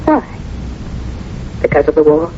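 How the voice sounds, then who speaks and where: A woman's voice: deep voice, sing-song, a little slow, mellow. An actress playing a smitten, candid young woman in a 1970s radio drama, romantic and assured. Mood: gently probing. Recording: dull, noisy old radio recording.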